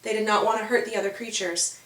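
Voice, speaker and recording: A woman narrating a scripted children's story in a measured, dramatic storytelling manner. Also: medium pitch, steady tone, fairly bright tone. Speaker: author and narrator. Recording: roomy, distant from the mic.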